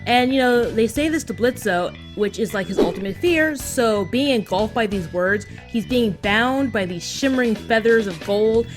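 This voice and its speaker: Gal doing chatty episode commentary, casual n giggly, music pretty loud behind her. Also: a little high, normal speed, hardly any pauses. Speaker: co-host of a fan recap.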